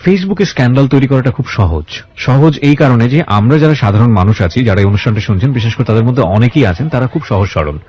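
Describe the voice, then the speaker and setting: A man's voice: medium pitch, expressive, quick pace, few pauses, darkish tone, husky. A man with a Bangladeshi accent hosting a radio interview, speaking in an emphatic, moralizing way and mixing in English words. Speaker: radio show host. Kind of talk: radio interview with reflective monologue to listeners.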